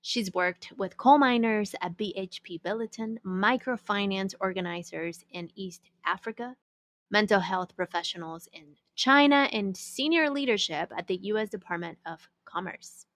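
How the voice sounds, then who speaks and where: A woman's voice: medium pitch, normal speed, fairly bright tone, dry recording. A woman hosting a podcast, chatting casually, searching for words and laughing easily. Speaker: podcast host. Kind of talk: casual interview chat.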